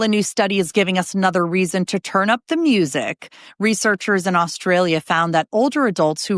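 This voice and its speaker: A woman in her thirties hosting a news podcast, reading scripted headlines in a bright, conversational way with light asides. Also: mid-range voice, no room sound, clean studio sound.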